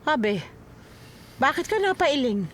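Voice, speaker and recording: A woman's voice: high voice, sing-song, slow pace, exaggerated voice. A Filipino actress playing an insistent, disapproving mother of a grown son in a radio drama. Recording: dramatic music behind. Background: dramatic music.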